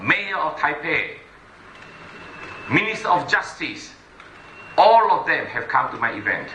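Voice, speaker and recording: Middle-aged man, punchy stage talk, highish bright voice, echoey hall. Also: slight background noise. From Malaysia. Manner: emphatic, repetitive, addresses the audience directly. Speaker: motivational business speaker. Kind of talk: stage presentation speech.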